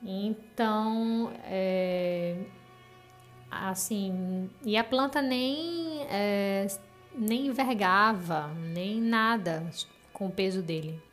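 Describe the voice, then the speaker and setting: Slow-talking Brazilian woman, music behind. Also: mid-range voice. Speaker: listener caller telling a personal story. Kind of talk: casual spoken storytelling. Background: music under the voice.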